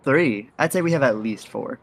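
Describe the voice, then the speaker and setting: An actor playing a kindly, soft-hearted faceless figure in an audio drama, with an easygoing and reassuring way of talking. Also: mid-range voice, expressive, moderate pace, vocal fry. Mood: playful.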